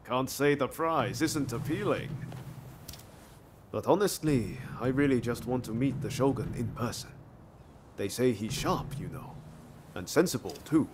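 An actor playing an upbeat, idealistic young ronin in a video game cutscene, casual, earnest and encouraging. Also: male voice, mid-range voice, lively intonation, measured pace, long pauses, noisy background, lightly acted. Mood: casual, curious. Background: game music.

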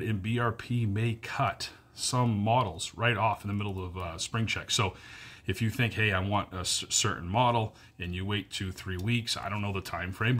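A man's voice: fairly low pitch, a bit bright. A man in his thirties giving an earnest direct-to-camera update from a wood-paneled room, filmed on a phone held close, repeating his key points with lots of fillers. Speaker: powersports dealer staff. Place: wood-paneled cabin bedroom indoors. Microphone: phone camera at arm's length.

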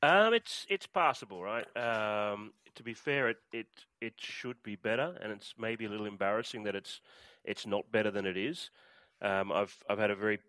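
A man's voice: fairly low pitch, normal speed, bright, forceful tone, clear, resonant tone, no echo. A middle-aged Australian man chatting as a podcast guest, hesitant with plenty of fillers and restarts. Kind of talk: casual interview chat.

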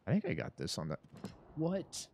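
A man's voice: medium pitch, huge pitch swings, normal speed, slight background noise. A man in his thirties giving rambling, self-mocking commentary while streaming a horror game from his home setup. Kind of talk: casual gameplay commentary.

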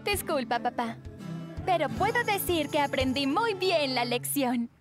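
A woman's voice: high-pitched, lively intonation, measured pace. A woman voicing a sweet, sensitive teenage girl in a cartoon, earnest and contrite. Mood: apologetic. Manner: earnest, confessional. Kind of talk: animated cartoon dub. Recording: music behind the voice.

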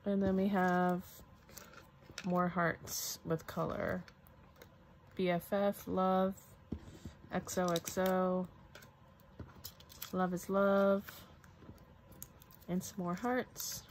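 Slow-paced, chatty woman unboxing crafts. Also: fairly low pitch, little pitch movement, slight background noise, rough recording. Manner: chatty, musing aloud to herself. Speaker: crafter showing a product haul. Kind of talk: casual unboxing commentary. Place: indoors at a craft table.

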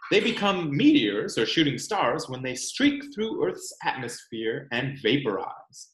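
A man in his thirties reads a picture book aloud to children at an unhurried pace. His pitch moves in lively swings as he leans on key words and explains as he goes. The sound is roomy, recorded indoors at home. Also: noisy background. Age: 30s. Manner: deliberate, explanatory, dramatic emphasis on key words. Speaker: read-aloud presenter. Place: small room at home, indoors.

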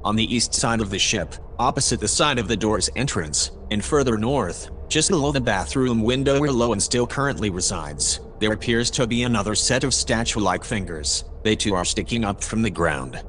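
An actor playing a polite, precise AI observer in an audio drama, speaking in a formal, measured way. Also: male voice, lowish voice, lively intonation, a bit bright, a little hiss or hum. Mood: calm, formal. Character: polite, precise male AI observer aboard a spaceship.

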